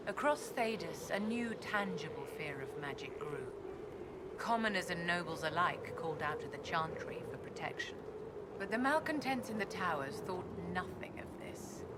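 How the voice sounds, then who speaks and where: An actress playing a haughty, politically shrewd woman mage of the court, poised and condescending, speaking in measured, articulate game dialogue. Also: low voice, heavy background noise. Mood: grave, disdainful. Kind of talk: scripted video game dialogue.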